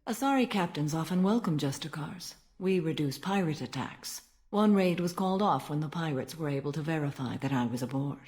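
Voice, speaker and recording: Ancient alien warrior woman, low voice, stern, formal, measured, solemn video game dialogue. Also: lively intonation, a little mellow. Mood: stern, composed. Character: stern, ancient female alien warrior-monk, calm and austere.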